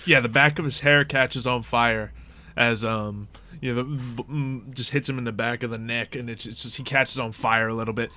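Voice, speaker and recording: Bright-voiced man running a tabletop game. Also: medium pitch, fairly even intonation, normal speed. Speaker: game master. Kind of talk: casual tabletop game narration and chat.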